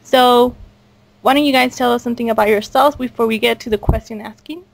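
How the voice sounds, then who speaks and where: Woman, fairly high voice, casual interview host, chatty, informal. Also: fairly even intonation, a little fast, creaky. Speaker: host and audio drama creator. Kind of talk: casual online group interview.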